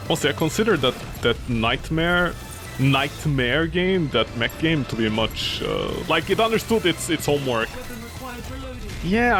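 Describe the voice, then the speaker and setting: A man streaming a game, talking casually in a rambling, swearing way, jumping from loud outbursts to low mumbles; English is not his first language. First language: not English; grew up with French, Italian. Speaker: gaming streamer. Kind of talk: casual let's-play commentary. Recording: game music loud behind.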